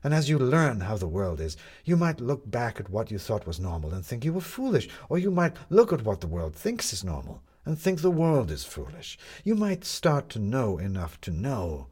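A man's voice: medium pitch, wildly swooping pitch, moderate pace, hardly any pauses, a little mellow, husky, pitched above the performer's own voice. A man voicing a bitter, proud, talkative male con artist in an audiobook, with emphatic, rhetorical delivery. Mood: confessional, impassioned.

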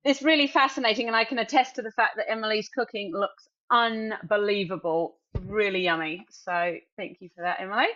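A young Welsh woman co-hosts a podcast in a cheerful, easy way, laughing often as she talks. Her recording sounds a little muffled and lo-fi, and her pitch sits in the middle range. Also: brisk, sharp tone. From Wales. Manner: upbeat, laughs easily. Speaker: podcast co-host. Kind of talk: casual podcast chat.

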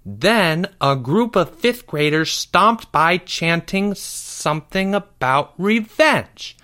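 Storybook kid, played by a male reader: timid, earnest young boy, raised pitch, drawn-out hesitant lines. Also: expressive, sharp tone, heavily acted. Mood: anxious. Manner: hesitant, youthful phrasing. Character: timid, anxious, easily startled young schoolboy.

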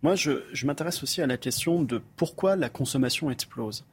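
A man's voice: medium pitch, fairly quick, slightly rough. A man speaking earnestly as a studio debate guest, explaining his view point by point. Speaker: panel guest.

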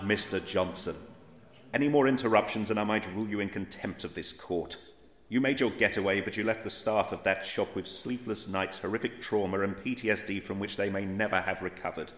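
An actor playing a stern, pompous judge in a futuristic court audio drama, speaking formally and deliberately. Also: male voice, lowish voice, a bit bright, natural voice. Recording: roomy echoing sound.

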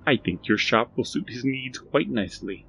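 A man voicing an eerie, overly formal little male stranger who speaks slowly and precisely. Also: medium pitch, lively intonation, creaky, fairly bright tone, muffled recording, slight background noise, pitched above the performer's own voice. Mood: measured.

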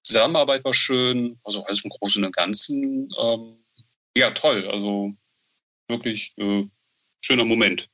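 A man talking casually as an interview guest, telling stories haltingly, searching for words and adding frequent tag questions. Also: lowish voice, pushed and bright, dull audio. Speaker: novelist and TV writer, interview guest. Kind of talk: casual interview chat, storytelling.

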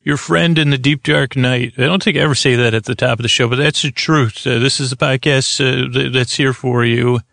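A man hosting a bedtime podcast in a rambling, improvised monologue, halting and wandering off on tangents, with a gravelly voice. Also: mid-range voice, steady tone, a bit bright, no room sound, clean studio sound. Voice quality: gravelly, creaky. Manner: meandering, halting, digressive, self-interrupting. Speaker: podcast host. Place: close, dry studio.

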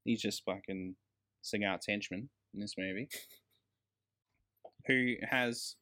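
A man's voice: on the low side, slow pace, pushed and bright. Sweary, emphatic man. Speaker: podcast co-host. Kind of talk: casual film review chat.

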